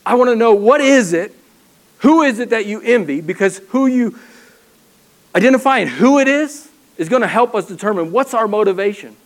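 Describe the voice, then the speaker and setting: Very high-voiced man preaching animatedly. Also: normal speed. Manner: animated, acts out people's inner voices; rhetorical questions, repeats phrases. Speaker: pastor. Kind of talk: sermon. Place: church stage with a ladder prop.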